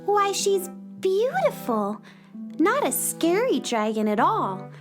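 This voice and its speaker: A woman's voice: extremely high pitch, wildly swooping pitch, slow pace, soft background music, full character voice. A woman playing a kind, earnest young space-traveling girl in a children's radio play, sweet and polite. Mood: friendly, wondering. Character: kind, wonder-filled young space cowgirl. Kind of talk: children's radio theater acting.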